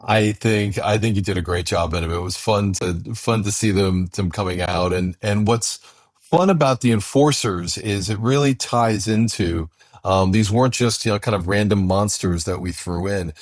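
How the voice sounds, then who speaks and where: A man co-hosting a conversational podcast introduction, speaking in halting phrases with repeated words. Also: fairly low pitch, dry recording. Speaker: co-host and writer introducing an audio drama episode.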